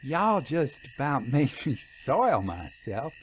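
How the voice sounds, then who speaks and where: A man's voice: medium pitch, big pitch swings, slight background noise. A man voicing a cheerful, folksy young country wife in a storybook reading. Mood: amused, startled. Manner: folksy, teasing, quick to laugh.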